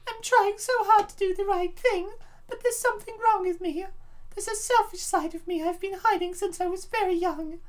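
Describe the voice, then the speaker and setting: A woman's voice: very high-pitched, normal speed. A woman voicing an anxious, self-doubting motherly space princess in a comic dub, speaking with earnest, troubled hesitation. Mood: troubled confiding.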